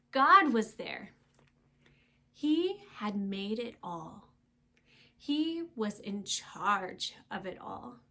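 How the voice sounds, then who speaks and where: A woman reading a storybook aloud to young children, expressive and emphatic, with dramatic pauses and hushed phrase endings. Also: fairly high pitch.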